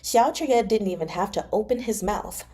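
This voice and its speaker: A woman's voice: mid-range voice, moderate pace, warm tone. A woman hosting a read-along, narrating a novel expressively and adding casual, chatty commentary. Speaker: host of a live read-along.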